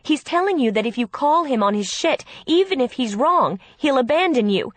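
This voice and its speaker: A woman voicing an exasperated, protective older sister who argues bluntly and forcefully in an audiobook. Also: on the high side, lively intonation, a little slow, no room sound, pitched above the performer's own voice. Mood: shouting, exasperated.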